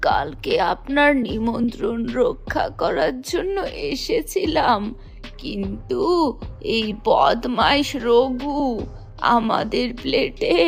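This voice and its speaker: A poor old granny from a cartoon village. Her voice is high and very creaky, and she speaks slowly, drawing out plaintive, scolding complaints over faint music. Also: heavily acted. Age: older. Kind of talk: cartoon folk tale acting.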